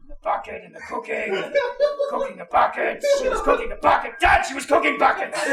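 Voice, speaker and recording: A sullen, unhinged puppet camper with a gravelly croak. The pitch leaps wildly, over loud music in an echoey venue. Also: heavily acted. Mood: frantic. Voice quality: gravelly, creaky. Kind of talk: improvised puppet comedy monologue.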